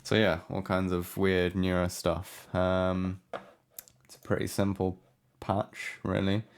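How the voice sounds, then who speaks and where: A man giving a casual, unscripted software walkthrough as a tutorial presenter, low-key and hesitant in manner. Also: completely flat delivery, slow pace. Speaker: music production tutorial presenter.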